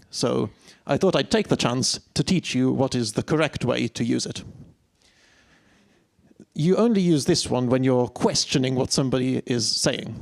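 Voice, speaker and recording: A man from a half Italian, half English background giving an energetic, jokey conference talk on stage into a microphone. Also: medium pitch, vocal fry. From half Italian, half English, lives in the UK. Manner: energetic, jokey, cheers into the mic. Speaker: conference speaker.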